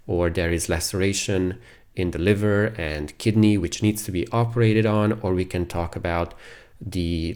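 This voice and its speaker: A man giving a medical lecture as a radiologist, speaking in a halting way with repeats and pauses. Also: deep voice, a little mellow, clear, resonant tone. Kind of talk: medical lecture over slides.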